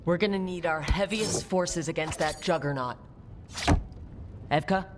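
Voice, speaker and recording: A woman playing a resolute squad leader in a video game cutscene, giving firm, measured orders. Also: fairly low pitch, natural voice. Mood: resolute, commanding. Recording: long reverb, music behind.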